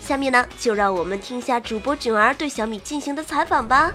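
A woman's voice: on the high side, fast, pushed and bright, soft background music. A woman hosting an online show, reading scripted links as a presenter.

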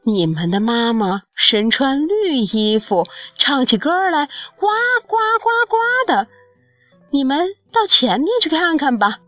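A woman voicing a kindly, cheerful mother goose who gently explains things in a children's storybook. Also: high voice, very animated, measured pace, dry recording, dull audio, soft background music, pitched above the performer's own voice, heavily acted.

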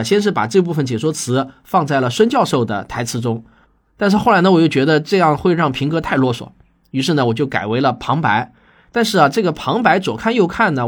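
A man's voice: a little high, slightly rough. A man hosting a solo talk show, explaining and arguing his points conversationally as he answers listeners. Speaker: podcast host and sci-fi audio drama writer.

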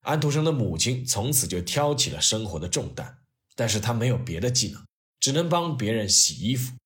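A man narrating a scripted history story as its host, in an expressive, conversational storytelling manner. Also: on the low side, brisk.

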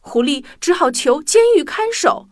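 A woman tells a children's story in a fairly high, swooping voice. Her narration is expressive and aimed at young listeners, with music softly behind her. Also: moderate pace.

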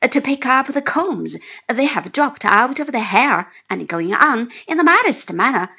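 A woman voicing a cheerful, excitable, good-natured young man who gushes with delight, in an audiobook reading. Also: sits high, normal speed, husky, highs cut off, heavily acted. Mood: chatty. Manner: gushing, effusive exclamations.

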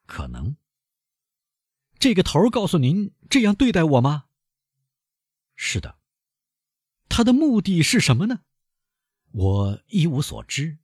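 Shrill, panicky captive banker, husky, voice leaping wildly high, played by a man reading a storybook. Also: wildly swooping pitch, unhurried, pauses often, darkish tone, no room sound, studio quality, full character voice. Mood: haggling. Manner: shrill, pleading and desperate. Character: frantic aging male banker held captive.

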